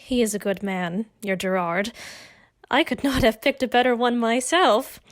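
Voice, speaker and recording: A woman's voice: fairly high pitch, moderate pace, natural voice. A woman playing a spirited, outspoken noble wife in an audio drama, with poised, formal period speech. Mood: confiding, wry.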